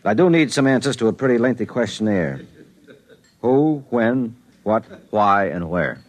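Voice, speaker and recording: A plainspoken everyman, anxious and wry, firing questions at a stranger. His voice sits low and sounds like an old radio play. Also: lively intonation, a little slow. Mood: worried. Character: worried ordinary married man, skeptical questioner. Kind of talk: 1950s radio drama acting.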